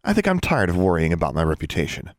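A man's voice: low voice, huge pitch swings, dry recording, clean studio sound. A man voicing a bold, teasing young schoolgirl witch who bosses others about. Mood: bossy. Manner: brisk, teasing, bossy.

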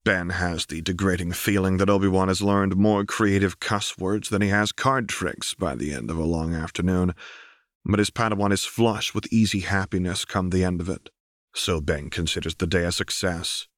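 Male audiobook narrator, low register, dry studio-tight sound, scripted fiction. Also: steady tone, moderate pace, a bit bright, no room sound. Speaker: audiobook reader.

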